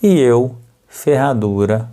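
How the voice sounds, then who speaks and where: A man with a Brazilian Portuguese accent giving educational narration for language learners as a podcast host, speaking deliberately in short phrases with pauses so each word is clear. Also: mid-range voice, expressive, dark tone. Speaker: podcast host and capoeira teacher.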